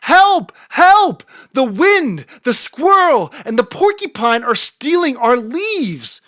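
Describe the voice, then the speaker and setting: A woman's voice: sits high, sing-song, slow pace, a bit bright, no room sound, studio quality, voice pushed up, full character voice. A woman voicing an earnest, anxious, caring young male fox in a storybook, childlike and emphatic. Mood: alarmed.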